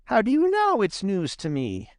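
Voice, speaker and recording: Grumpy storybook rabbit, male performer: raised pitch, slow cross drawl, dismissive and jeering. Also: wildly swooping pitch, no echo, clean studio sound, exaggerated voice.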